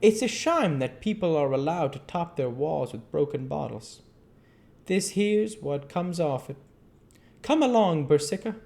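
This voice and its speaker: A man's voice: fairly high pitch, lively intonation, measured pace, vocal fry, darkish tone, voice pushed up. A man voicing a talkative old zookeeper in an audiobook, rambling in folksy, emphatic dialect. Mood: concerned, exclaiming. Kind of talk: audiobook character voice.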